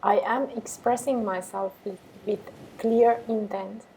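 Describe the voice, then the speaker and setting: A woman meditation teacher guiding affirmations in a calm, measured way with pauses between phrases. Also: medium pitch, slight background noise, rough recording. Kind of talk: guided meditation with repeated affirmations.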